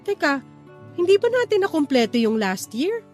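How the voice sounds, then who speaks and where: A woman's voice: high voice, very animated, normal speed, darkish tone. A woman acting a loving Filipino wife and mother in a radio drama. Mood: teasing, fond. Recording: music bed under the voice.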